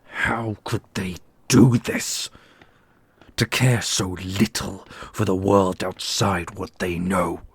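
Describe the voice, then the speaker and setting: A man playing a brooding, commanding immortal prince in an ASMR fantasy roleplay, speaking gravely and deliberately with a gravelly, husky voice. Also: sits low, level tone, heavily acted. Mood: grave, resolute.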